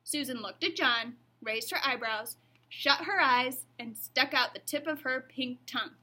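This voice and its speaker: High, bright, husky female storyteller. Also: a little slow. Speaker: reader. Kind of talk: storybook narration for children.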